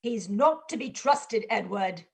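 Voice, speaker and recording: A woman's voice: mid-range voice, expressive, unhurried, creaky, a little mellow. A woman playing a distrustful, controlling mother in a stage play reading, speaking in an ominous, warning way.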